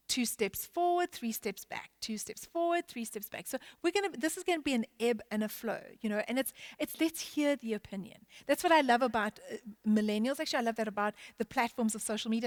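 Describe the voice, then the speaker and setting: Woman church leader, somewhat high voice, hesitant and searching, dry close sound. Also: no room sound. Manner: halting, many restarts and fillers. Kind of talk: panel discussion.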